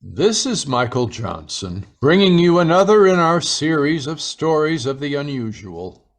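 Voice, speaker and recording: A man announcing in the ominous, deliberate style of an old-time radio horror introduction, solemn throughout. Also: on the high side, big pitch swings.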